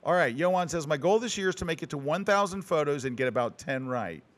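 A man hosting a live show, his voice sitting a bit high for a man. He teases his guests and tosses off quick jokes in a playful, loose way. It sounds like a live event in front of an audience. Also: expressive. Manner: teasing, playful, quick asides. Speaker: show host. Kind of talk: joking live show banter.

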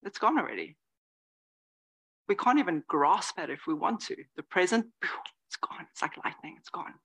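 A woman in her forties giving a lively, conversational dharma talk as a Zen teacher, dropping now and then into soft whispered asides. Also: lowish voice, normal speed, breathy edge, low-quality recording. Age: 40s. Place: meditation hall before a live audience.

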